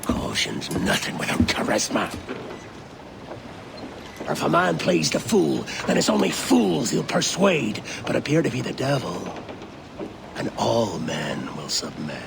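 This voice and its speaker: Game pirate captain, fearsome and grandiose, unhurried delivery with huge pitch swings. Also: male voice, very animated, vocal fry, noisy background, full character voice. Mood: brooding menace. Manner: theatrical, grandiose, menacing. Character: theatrical, menacing pirate captain, commanding and fearsome. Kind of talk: dramatic video game cutscene acting.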